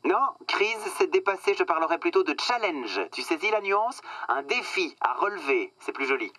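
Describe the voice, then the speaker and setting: A slick male corporate boss, with a bright, forceful edge and a dry studio sound. He coaches in a condescending, mock-earnest way, leaning on pauses to sell his cynical satire. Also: a little high, very animated, no echo, exaggerated voice, low-quality recording. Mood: smug, persuasive. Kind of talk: satirical French radio drama acting.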